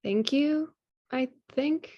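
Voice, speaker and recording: A woman's voice: high voice, slow, dull audio. A woman reading a sassy, affectionate girlfriend in a naturalistic drama table read, playful and conversational. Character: sassy, affectionate girlfriend meeting boyfriend's family.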